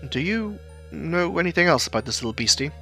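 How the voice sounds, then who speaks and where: Audio-story lead: curious, easygoing young adventurer with a bright voice, played by a man, music behind. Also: on the high side, very animated, a little fast, pitched above the performer's own voice. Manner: chuckling, easygoing.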